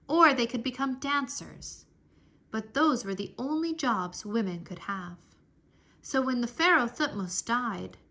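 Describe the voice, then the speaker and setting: Bright, high-voiced woman reading to children. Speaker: read-aloud narrator. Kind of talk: children's history audiobook read-aloud.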